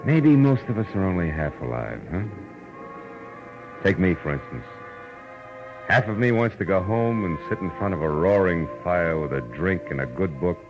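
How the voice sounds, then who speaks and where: An actor playing a world-weary, wryly philosophical private detective in a 1940s radio drama, narrating in a musing, colloquial way. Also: male voice, sits low, expressive, moderate pace. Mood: wistful musing. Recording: dull vintage radio recording. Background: dramatic music.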